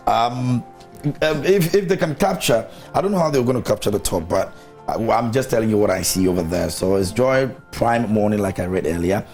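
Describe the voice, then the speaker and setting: A man's voice: lowish voice, fairly quick, darkish tone, soft background music. A man hosting a morning TV show, chatty and teasing, chatting casually with a guest in a studio. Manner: chatty, teasing, laughs often.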